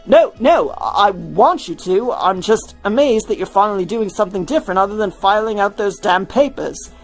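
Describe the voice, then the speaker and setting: A woman's voice: mid-range voice, lively intonation, moderate pace, soft background music, low-quality recording. A woman voicing an easygoing, supportive young male rock star in a fan fiction reading, casual and coaxing. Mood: amazed, hesitant.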